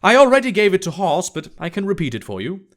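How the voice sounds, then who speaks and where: Brash audiobook sex worker, female male reader, higher-pitched, curt, irritated and dismissive. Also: big pitch swings, quick pace, a bit bright. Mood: brusque. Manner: blunt, dismissive. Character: brash, irritated adult woman sex worker, blunt and scornful. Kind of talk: audiobook character dialogue.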